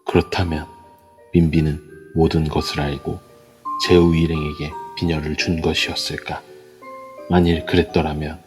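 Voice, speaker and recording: A man narrating an audio drama in a measured storytelling manner. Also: very deep voice, a little mellow, in a large hall. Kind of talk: scripted narration. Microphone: phone app mic.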